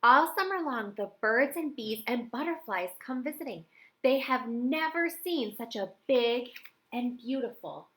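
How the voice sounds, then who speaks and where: Female storybook reader, middle-aged, slightly high pitch, expressive delivery for young listeners. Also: measured pace, poor audio. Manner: expressive, with dramatic emphasis. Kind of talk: children's picture book read-aloud.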